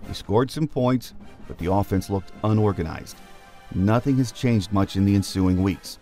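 A man hosting a sports podcast, giving measured scripted commentary that speaks directly to fans. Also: lowish voice, mellow, faint music behind. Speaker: podcast host.

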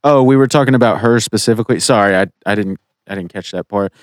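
A man's voice: on the low side, fast talker. A man co-hosting a podcast, cracking crude jokes and laughing in a casual chat. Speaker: podcast co-host.

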